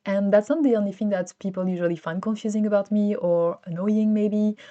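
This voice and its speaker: A woman's voice: mid-range voice, a little fast, dark tone, highs cut off. A woman in her thirties with a French accent, chatting casually to camera in a solo vlog, often restarting her sentences. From France. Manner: chatty, with frequent restarts and fillers. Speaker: vlogger.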